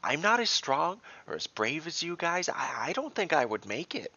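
Man doing a timid, higher-pitched nervous adventurer. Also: big pitch swings, moderate pace, pushed and bright, husky, lo-fi sound, full character voice. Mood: timid, anxious. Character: timid, self-doubting young adventurer afraid of dying.

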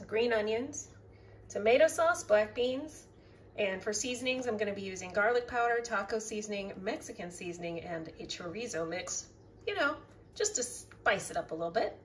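A young woman casually narrating a home cooking video in a relaxed, chatty way, with fillers. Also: mid-range voice, normal speed. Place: home kitchen. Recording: distant mic, noticeable room noise.

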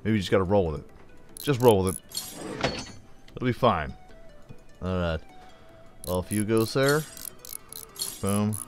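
A man with a slightly low voice chats through a mechanic game. He jokes with the parts he removes, and his pitch swings a lot. Game music plays clearly under his voice. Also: expressive, slow, pauses often. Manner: joking, chatty, talks to the game parts. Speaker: gameplay commentator. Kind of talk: casual let's play commentary.

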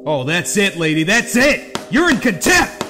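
A man's voice: high-pitched, big pitch swings, unhurried. A man playing a pompous, irritable judge who scolds in a comic-book audio drama. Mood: irritated. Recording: music behind the voice.

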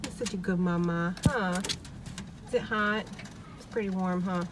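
A woman's voice: on the low side, measured pace. A young woman chatting casually as she vlogs on a farm, recorded outdoors on a handheld phone. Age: young adult. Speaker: homestead vlogger. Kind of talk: casual vlog chat. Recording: noisy outdoor phone audio.

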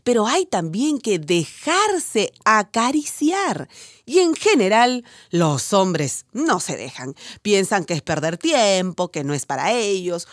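A woman's voice: medium pitch, big pitch swings, slow pace, no echo, clean studio sound. An actress playing a frank, playful woman doctor who hosts a radio advice show in a teasing, instructive way. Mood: explanatory, assured. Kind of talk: radio drama advice programme.

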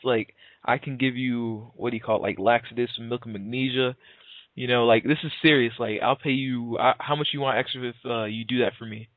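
A man's voice: mid-range voice, no echo. A man in his early twenties making a prank phone call in a casual, slangy, hesitant way, acting sincere. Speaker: prank caller. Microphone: telephone call. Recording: narrow, tinny phone line.

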